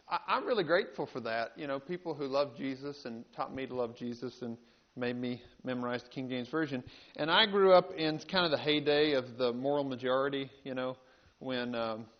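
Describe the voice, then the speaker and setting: Southern American man telling stories, dull recording. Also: mid-range voice. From rural North Carolina, United States. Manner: folksy, halting with repeated words. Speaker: invited panel speaker. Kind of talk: storytelling talk to an audience. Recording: dull, band-limited live talk recording.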